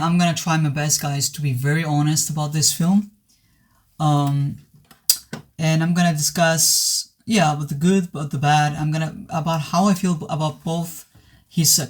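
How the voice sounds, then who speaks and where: A man giving a casual film review to camera, his voice worn and hoarse after being ill, talking earnestly with many halting restarts. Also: a little high. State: voice worn from recent illness. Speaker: movie reviewer.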